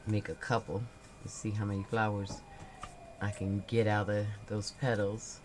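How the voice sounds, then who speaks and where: A woman hosting a relaxed crafting livestream, talking through each step as she works, her voice a little nasal and stuffy from a cold. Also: rumbling low, moderate pace, noisy background. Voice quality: slightly nasal.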